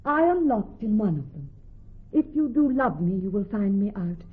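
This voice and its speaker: Noble lovestruck heiress, muffled radio sound. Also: female voice, mid-range voice, big pitch swings, moderate pace, husky. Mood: anxious, tender. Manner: formal, theatrical verse delivery. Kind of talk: classical Shakespearean radio acting. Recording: muffled, band-limited old radio recording.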